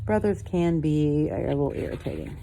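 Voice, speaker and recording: Older woman, low-pitched, grandmotherly storyteller, expressive bedtime reading, casual asides. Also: moderate pace, vocal fry, a little hiss or hum. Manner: expressive, commenting as she reads.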